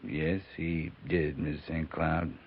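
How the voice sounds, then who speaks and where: Very deep, grave cavalry captain. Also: male voice, little pitch movement, full character voice. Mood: gentle, solemn. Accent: American. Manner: low-key, measured, sparing with words. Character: grave, steady US cavalry captain, understanding commander. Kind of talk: 1950s radio drama acting. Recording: muffled noisy 1950s radio recording.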